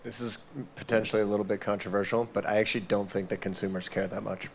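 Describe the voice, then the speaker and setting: A man speaking frankly on a conference panel, measured and slow in places. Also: lowish voice, little pitch movement, a little hiss or hum.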